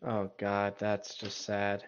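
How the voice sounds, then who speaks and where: A man's voice: on the low side, monotone, slow pace, clean tone, highs cut off. A man co-hosting casual, mocking commentary while reading and reacting to a story. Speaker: co-host reacting to a horror story.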